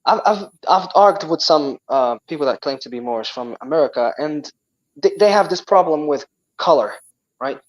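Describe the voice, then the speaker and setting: Moroccan-accented man, lively and halting. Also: on the high side, rough recording. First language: Tamazight. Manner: animated, frequent restarts and repeated words. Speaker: guest. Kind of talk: casual chat.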